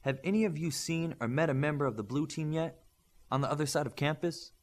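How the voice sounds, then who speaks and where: A man voicing a stern, principled instructor who lectures young superhero trainees in measured, emphatic audiobook dialogue. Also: a little high, close to an everyday voice. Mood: earnest, firm. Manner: emphatic, didactic, measured lecturing with rhetorical questions.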